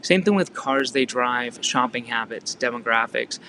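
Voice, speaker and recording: A man with a Massachusetts accent gives a casual coaching talk to real estate agents. His voice is bright and forward at an ordinary middle pitch. He keeps asking the listener questions and stumbles through restarts and fillers. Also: moderate pace, a little hiss or hum. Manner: conversational, asks the listener frequent rhetorical questions.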